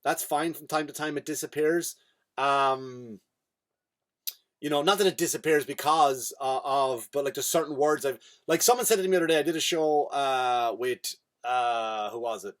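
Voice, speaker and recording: An Irish man with an Irish accent hosting a comedy podcast, ranting in a rambling, animated monologue with big swings in pitch and loudness. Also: normal speed. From Ireland.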